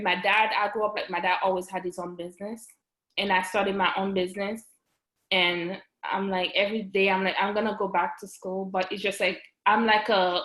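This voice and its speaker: A woman in her thirties from Haiti with a Haitian accent. She talks as a guest in a casual interview, chatty and full of fillers, restarts and quick laughs. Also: medium pitch, fairly bright tone. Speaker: guest, registered nurse and business owner. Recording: roomy echo.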